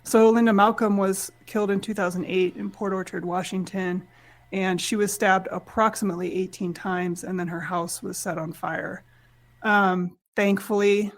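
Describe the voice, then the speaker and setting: A woman investigator guesting on a podcast, a little raspy from a head cold, explaining things clearly and methodically. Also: on the low side.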